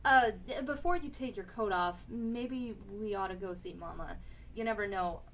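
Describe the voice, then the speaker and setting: A woman's voice: mid-range voice, normal speed, a bit bright, a little hiss or hum, natural voice. Woman acting a fussing, homely big sister. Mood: concerned. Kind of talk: live radio play acting.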